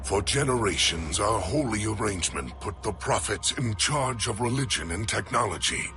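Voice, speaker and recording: An actor playing a weary alien warrior elder in a video game, speaking gravely and with weight. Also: male voice, fairly low pitch, expressive, moderate pace, sharp tone, faint music behind. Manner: grave and brooding.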